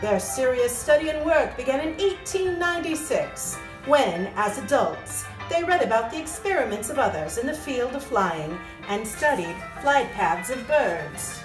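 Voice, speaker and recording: A woman's voice: sits high, very animated, a little slow, few pauses. A woman reading scripted storytelling narration as the narrator of a radio play. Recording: roomy echo, distant mic, music behind.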